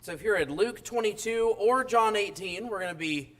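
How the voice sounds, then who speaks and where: Hoarse high-voiced man preaching. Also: fairly bright tone. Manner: halting, frequent uh fillers and restarts. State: hoarse, rough voice from illness. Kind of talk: sermon. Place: church.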